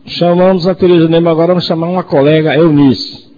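Man, mid-sixties, Brazilian accent, radio presenter, dark relaxed tone, muffled lo-fi sound. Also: fairly high pitch, fast. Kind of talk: presenting a community radio talk show. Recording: dull lo-fi audio, faint noise.

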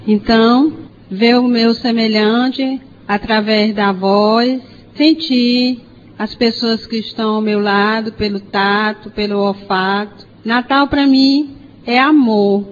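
Woman, fifties, Brazilian accent, slow reflective phrasing, muffled audio with faint noise. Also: a little high, fairly even intonation. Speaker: student guest on radio show. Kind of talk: reflective personal talk in short phrases.

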